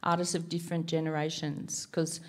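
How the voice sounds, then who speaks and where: A woman's voice: sits low, flat delivery, a little mellow. A middle-aged woman with an Australian accent, moderating a panel on stage and reading a guest's introduction from notes. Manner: measured, reads from notes. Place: stage before an audience. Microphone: handheld mic.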